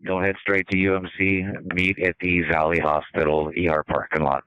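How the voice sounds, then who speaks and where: A man's voice: low voice, steady tone, brisk, fairly bright tone, clear, resonant tone, dry recording. An American man giving instructions as a police officer over the radio. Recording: muffled police radio recording.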